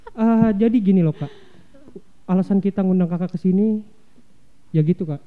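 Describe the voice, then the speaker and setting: A woman's voice: mid-range voice, moderate pace. Jokey young woman podcast host. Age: young adult. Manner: jokey, teasing, slangy. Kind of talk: casual podcast chat. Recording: dull audio with crowd noise.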